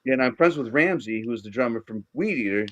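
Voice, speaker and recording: A man's voice: medium pitch, expressive, fairly bright tone, lo-fi sound. Middle-aged boston guy rambling on, lots of uh and um, stops and restarts. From Boston area, Massachusetts. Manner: rambling, halting with restarts and fillers. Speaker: guest. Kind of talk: casual chat.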